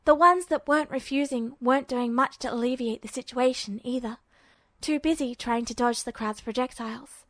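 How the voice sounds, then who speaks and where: High-voiced woman narrating a story, dry sound. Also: normal speed, fairly bright tone, no room sound. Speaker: audiobook-style narrator of fan fiction.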